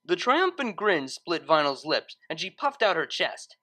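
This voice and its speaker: A woman reading a story aloud as narrator, in expressive scripted narration. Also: sits low, measured pace.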